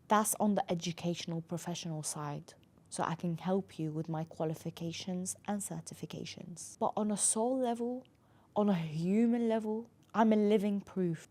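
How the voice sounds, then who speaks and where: A woman's voice: mid-range voice, a little mellow. A woman in her twenties, a mental health professional whose first language is not English, telling her own story in an earnest monologue and leaning hard on key words. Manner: earnest, emphatic, dwelling on words.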